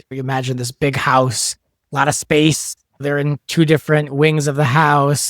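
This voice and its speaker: A man's voice: mid-range voice, no echo. A man talking casually in an interview as a show's creator and producer. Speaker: show creator and executive producer, podcast guest.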